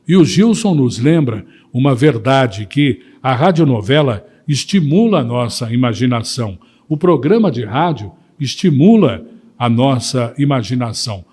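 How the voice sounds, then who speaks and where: A Brazilian man presenting to viewers, deliberate and emphatic, posing rhetorical questions and giving his views. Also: mid-range voice, very animated, a little mellow, breathy edge. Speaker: presenter and voice-over teacher. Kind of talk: talking to viewers, opinion commentary.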